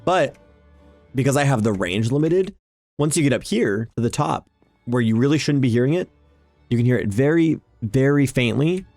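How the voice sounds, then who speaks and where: A man's voice: mid-range voice, expressive, normal speed, a little mellow, no room sound. A man giving casual, informal game commentary with an enthusiastic manner. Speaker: video game vlogger, host. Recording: music behind the voice. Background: game background music.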